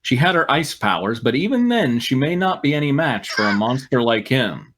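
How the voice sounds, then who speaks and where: Man reading a story with lively pitch. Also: normal speed. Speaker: reader on a fan fiction comedy show. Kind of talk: story read aloud with mocking asides.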